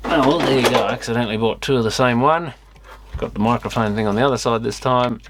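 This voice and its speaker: A man's voice: mid-range voice, fast. A man giving casual, off-the-cuff commentary as he looks over gear, muttering and mildly grumbling to himself. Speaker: electronics repair vlogger. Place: electronics repair workshop. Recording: clicky, clearly noisy external camera mic.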